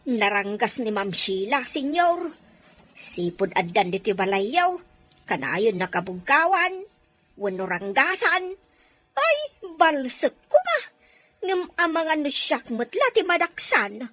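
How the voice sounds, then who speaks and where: A high, bright actress's take on an older Filipino housemaid, put-upon and fearful yet gossipy. She whines and exclaims in swooping melodrama, always deferential, with music behind her. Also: no room sound, full character voice. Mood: worried, deferential. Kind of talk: melodramatic audio drama acting.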